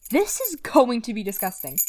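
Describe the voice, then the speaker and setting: A squeamish, blunt mercenary woman , played by an actress, with swooping, expressive delivery. Her voice sits fairly high and sounds exasperated, in audio drama style, with some noise behind it. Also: moderate pace. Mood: disgusted, uneasy.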